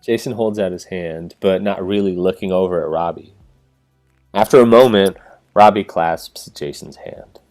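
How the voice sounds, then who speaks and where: A man's voice: low-pitched, normal speed, a little mellow, faint music behind, close to an everyday voice. A man reading stage directions as scripted narration for a play reading.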